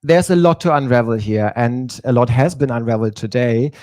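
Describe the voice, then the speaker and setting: A man, giving a prepared stage talk as a drag performer and telling his story in a measured way. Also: medium pitch, darkish tone, no room sound, clean studio sound.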